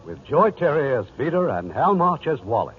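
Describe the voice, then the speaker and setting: Guy announcer on old radio show, highish lively voice, music behind, muffled. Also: moderate pace. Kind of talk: 1940s radio drama narration.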